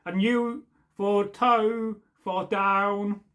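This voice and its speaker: A man reading aloud, voicing a young boy who stubbornly answers a stranger with slow, defiant replies. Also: high voice, steady tone, fairly bright tone, exaggerated voice.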